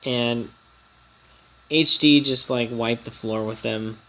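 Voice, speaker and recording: A man's voice: mid-range voice, fairly even intonation, takes it slowly, sharp tone, low-quality recording. A man hosting a casual mobile game vlog, a bit nasal from an oncoming cold, rambling and chatty as he talks. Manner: rambling, chatty, easily startled. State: slightly nasal from a coming cold. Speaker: gaming channel host and guild leader.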